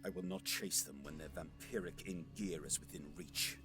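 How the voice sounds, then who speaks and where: An actor playing an arrogant, hot-tempered alien warrior lord in a science fiction audio drama, with a haughty, theatrical manner. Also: male voice, extremely low pitch, moderate pace, vocal fry, over music. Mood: resolute.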